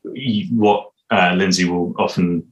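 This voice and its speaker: Deep-voiced British man, echoey room. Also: fairly even intonation, a little slow, lo-fi sound. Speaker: interviewed audio drama creator and composer. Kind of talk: casual interview talk. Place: small room indoors. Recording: echoey room on video call.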